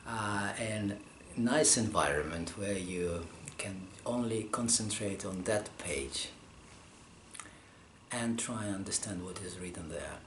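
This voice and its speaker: Slow, halting man in an echoey room. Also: fairly low pitch. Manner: halting, pausing often to find words. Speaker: scholar interviewed about manuscript studies. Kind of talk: interview answers. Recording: roomy echo, faint background hum.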